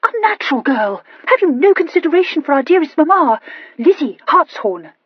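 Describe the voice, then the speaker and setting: A woman doing a shrill, scolding young woman. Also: big pitch swings, normal speed, no room sound, dull audio. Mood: indignant. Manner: exclaiming, reproachful.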